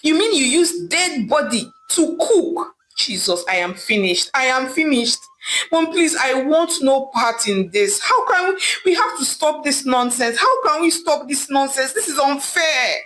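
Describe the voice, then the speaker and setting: A distraught young daughter from a folktale, acted out by a woman storyteller. She pleads and exclaims in a high, very animated voice, repeating herself in indignation. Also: unhurried, poor audio. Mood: horrified.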